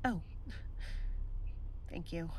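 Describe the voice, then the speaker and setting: A woman playing a lonely, anxious space traveller in an audio drama, speaking in a halting, uncertain, subdued way. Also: darkish tone. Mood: awkward. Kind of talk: naturalistic audio drama acting.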